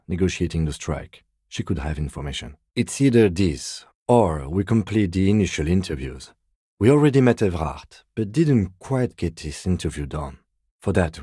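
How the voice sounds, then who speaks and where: A man playing a composed, meticulous police lieutenant detective in a video game, speaking in a precise, deadpan, politely reserved way. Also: sits low, slightly rough, dry recording, studio quality. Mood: businesslike. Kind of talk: video game character dialogue.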